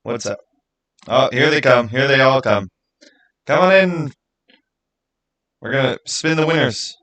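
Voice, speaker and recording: A man's voice: medium pitch, unhurried, fairly bright tone. A man hosting a livestream, chatting casually and informally straight to his viewers. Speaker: live stream host running a giveaway.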